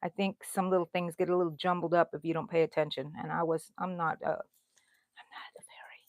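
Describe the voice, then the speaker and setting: A woman's voice: lowish voice, little pitch movement, fairly quick, dry recording, studio quality. Chatty woman rambling on a livestream. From Houston, Texas. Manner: chatty, rambling, answers viewer comments aloud. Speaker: crochet channel host. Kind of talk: casual livestream chat while crocheting.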